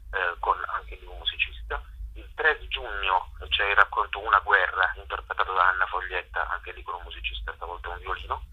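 Radio interview guest: man, low and raspy, hesitant delivery with pauses to find words, heard over a muffled phone line. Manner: hesitant, halting, searching for words. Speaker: interview guest, theatre artist and workshop teacher. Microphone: telephone. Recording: dull telephone line on radio.

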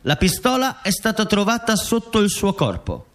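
A guard commander in a radio play. The voice is notably high for a male, and the delivery is formal, like an official statement. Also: wildly swooping pitch, normal speed, fairly bright tone, exaggerated voice. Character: dead guard commander recounting his killing, formal. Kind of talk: scripted radio drama monologue.

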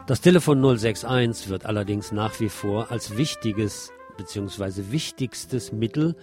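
A man's voice: on the low side, a little slow, over music. A man hosting a radio programme, reading scripted commentary and news. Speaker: radio host.

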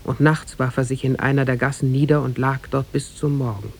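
Casting: male narrator, mid-pitched with a gravelly edge, formal storytelling delivery, vintage radio drama. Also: steady tone, slight background noise. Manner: measured, formal.

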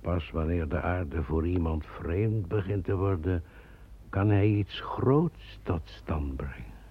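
An actor playing a brooding man descended from a traitor in a radio play, speaking in a solemn, measured way. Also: sits low, animated, exaggerated voice. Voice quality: gravelly. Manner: solemn, measured, visionary storytelling. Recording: dull lo-fi old radio recording.

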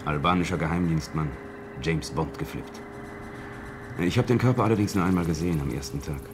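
An actor playing a matter-of-fact secret service investigator briefing a colleague in a radio play, in a dry, clipped manner. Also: male voice, a bass voice, brisk, noisy background. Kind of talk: 1970s German radio play dialogue.

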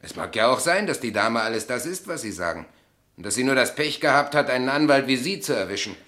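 A man's voice: medium pitch, lively intonation, quick pace, pushed and bright. An actor playing a naive, stubborn, plain-spoken male heir in a German radio play, with a blunt, exclamatory manner. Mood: bluntly defiant.

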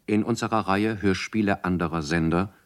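A man's voice: low-pitched, moderate pace. A man making a formal 1950s radio announcement. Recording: vintage mono radio recording.

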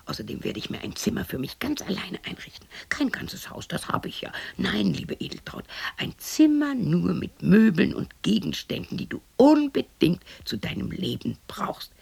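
Husky, bitter widow in her sixties. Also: medium pitch, wildly swooping pitch, normal speed, a little mellow, exaggerated voice. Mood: brooding, self-scolding. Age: 60s. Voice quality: husky, creaky, often dropping to a breathy whisper. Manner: talks to herself, scolding and questioning, abrupt shifts. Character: lonely, bitter sixty-year-old widow muttering to herself. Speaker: lead actress in a radio play. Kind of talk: radio play monologue.

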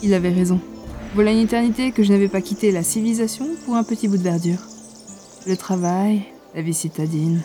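Woman playing a wry newbie ranger girl narrating her fantasy adventure, really mellow voice, bit of music under it. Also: medium pitch, a little fast, close to an everyday voice. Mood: curious, reflective. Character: young female ranger, novice gamer, wry and curious narrator. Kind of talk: first-person audio drama narration.

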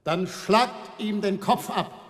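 Solemn inquisitor in a vast echoing hall. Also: male voice, sits high, slow, noisy background. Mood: solemn, cold. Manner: solemn, formal, authoritative. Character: solemn, implacable male inquisitor presiding in judgement. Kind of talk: German radio drama acting. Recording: echoey hall with long reverb.

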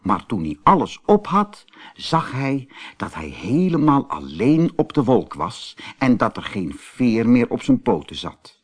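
A man's voice: mid-range voice, slightly rough. A man reading a children's fairy tale expressively and without hurry, as a storyteller. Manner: expressive, unhurried read-aloud.

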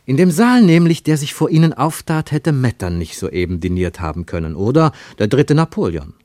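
A man narrating a literary radio play with expressive, scripted storytelling. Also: mid-range voice, normal speed, no echo.

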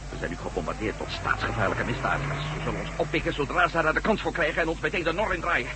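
Actor as urgent, high-pitched hero on the run. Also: male voice, expressive, fast talker, lightly acted. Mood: grim, determined. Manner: urgent, commanding, sarcastic, swears. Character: Matt, agitated ex-astronaut reporter hero on the run. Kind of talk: science fiction radio drama acting. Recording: old radio drama, hissy, noisy.

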